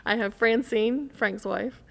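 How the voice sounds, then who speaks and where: A woman's voice: a little high, creaky. A woman in a casual podcast conversation, telling an anecdote and acting out quoted remarks as she goes.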